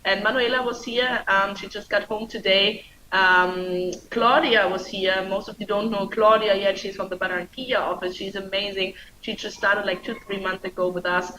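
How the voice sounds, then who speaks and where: A woman's voice: mid-range voice, steady tone, normal speed. Chatty female stream host, faint noise. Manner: chatty, loose, many fillers. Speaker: show host. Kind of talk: live stream hosting.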